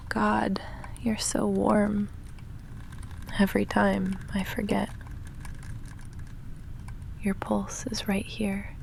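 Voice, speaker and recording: A woman playing a lovestruck, restrained goth vampire roommate in an intimate ASMR roleplay, speaking in halting, breathy near-whispers with dry, deadpan asides. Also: medium pitch, slight background noise. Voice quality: breathy, often whispering, creaky.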